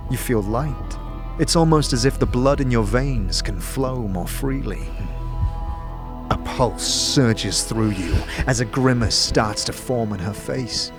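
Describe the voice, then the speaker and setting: Casting: male storyteller for fantasy audio drama, medium pitch, measured scripted narration, prominent music bed. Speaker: narrator and game master.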